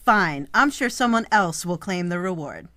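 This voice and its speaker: A woman's voice: on the low side, animated, moderate pace, pushed and bright. An actress playing an impatient, exasperated client, a well-off young woman hiring a detective.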